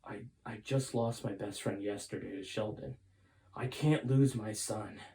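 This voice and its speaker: A man's voice: on the low side, measured pace, warm tone, close to an everyday voice. A man voicing a determined, anxious father and time traveller in a storybook, earnest and emphatic. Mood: pained.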